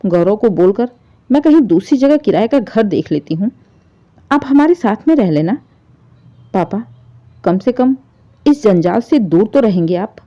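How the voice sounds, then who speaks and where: A woman voicing a caring adult daughter in a moral story, gently and tearfully pleading to comfort her father. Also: mid-range voice, expressive, measured pace, long pauses, close to an everyday voice. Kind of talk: dramatised Hindi moral story. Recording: dull, muffled lo-fi audio.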